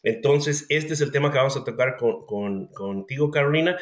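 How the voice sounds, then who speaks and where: A man's voice: mid-range voice, moderate pace. Polite male host, roomy sound. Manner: polite, filler-heavy introductions. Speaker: podcast host. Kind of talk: interview hosting. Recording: roomy echoey audio.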